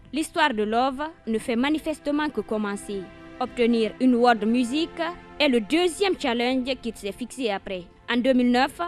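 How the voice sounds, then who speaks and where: A woman reading a formal scripted introduction as narrator. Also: on the high side. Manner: formal read-out.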